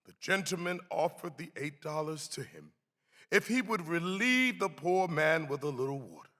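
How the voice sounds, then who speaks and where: A man giving a dramatic reading of a historic account, theatrical and deliberate, with swelling emphasis. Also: a little high, big pitch swings, sharp tone. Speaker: actor reading aloud. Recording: long hall reverb.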